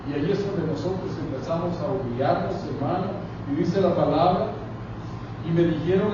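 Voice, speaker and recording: A male pastor preaching a sermon, addressing the congregation directly and often. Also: on the high side. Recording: echoey, distant, dull, noisy hall recording.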